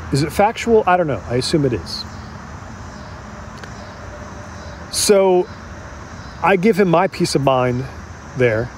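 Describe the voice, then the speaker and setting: An actor playing an agitated man who recounts a tense encounter in a halting, emphatic, conversational audio drama monologue. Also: mid-range voice, a little mellow, a little hiss or hum, natural voice. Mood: indignant.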